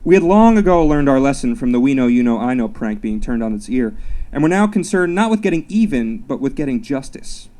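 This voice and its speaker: Man reading his essay aloud with lively pitch. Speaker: author reading own work. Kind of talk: live literary reading of a first-person comic essay.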